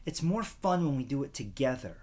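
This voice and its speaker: A man voicing an eager, pestering kindergarten boy in an excitable storybook reading. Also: mid-range voice, fairly quick, pitched above the performer's own voice, close to an everyday voice.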